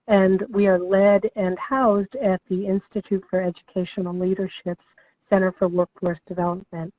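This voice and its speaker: A slightly hoarse woman, a project director, welcomes people to a training webinar and gives an overview, friendly and with frequent ums. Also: medium pitch, dark tone, no echo, muffled recording. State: slightly hoarse, losing her voice.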